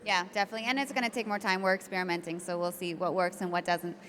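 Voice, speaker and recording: A woman's voice: lowish voice, steady tone, racing, a bit bright. A woman moderating a conference panel, asking questions in a casual, upbeat way. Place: conference stage. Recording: echoey conference hall with faint noise.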